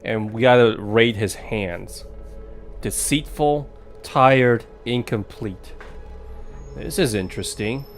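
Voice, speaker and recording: A man's voice: mid-range voice, slow, soft background music. Wry joking male streamer. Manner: joking, wry, reacts aloud to the game. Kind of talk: casual let's play commentary.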